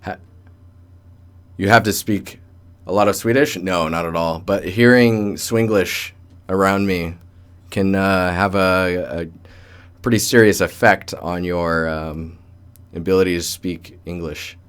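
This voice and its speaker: Low-voiced young man chatting on stream. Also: steady tone, measured pace, clear, resonant tone. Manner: joking, rambling, colloquial. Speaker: livestream host, digital artist. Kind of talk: casual livestream chat and portfolio critique. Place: indoor room with door closed.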